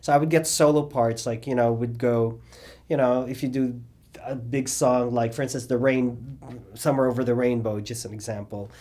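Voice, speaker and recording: Middle-aged man, animated storyteller, lots of fillers, mid-range voice. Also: medium pitch, normal speed. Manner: rambling, animated storytelling with many fillers and restarts. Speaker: interviewee, cabaret singer and drag performer. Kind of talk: oral history interview.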